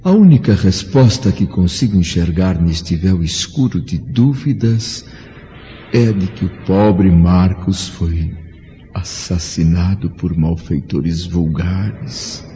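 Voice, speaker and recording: A man's voice: sits low, slow pace, dark tone. A man acting as an anguished Roman senator and remorseful father, solemn and theatrical, in a radio drama monologue. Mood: anguished, brooding. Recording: muffled recording with background noise.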